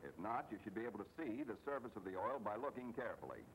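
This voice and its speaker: A man's voice: big pitch swings, fast. A man giving scripted instructional narration for a training film, explaining things in a folksy way with wry asides. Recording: noisy old training film soundtrack, engine rumble.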